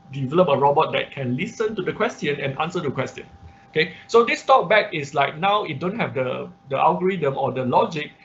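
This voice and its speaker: A man instructing an online class through a live software demonstration, talking haltingly as he thinks aloud. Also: on the high side. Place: indoors, online class at a computer. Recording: muffled online class audio with background noise.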